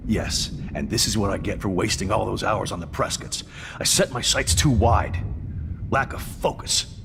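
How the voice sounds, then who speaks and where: An actor playing a gruff, middle-aged war veteran and stepfather, brooding and terse, in a video game drama. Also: fairly low pitch, expressive, moderate pace, big-room sound, background noise. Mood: bitter, self-blaming.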